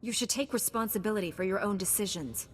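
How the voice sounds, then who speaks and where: A woman playing a composed, resolute Jedi knight in a video game, calm, firm and dry. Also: mid-range voice, fast, slightly rough, lightly acted. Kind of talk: video game cutscene acting. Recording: reverberant game audio, faint music.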